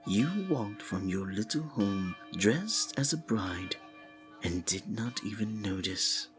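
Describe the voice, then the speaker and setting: A man playing a sinister, seductive cult preacher in an intimate ASMR roleplay, husky and breathy, often sinking into whispers, with archaic, formal endearments. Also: fairly low pitch, expressive, slow, faint music behind. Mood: tempting, gloating.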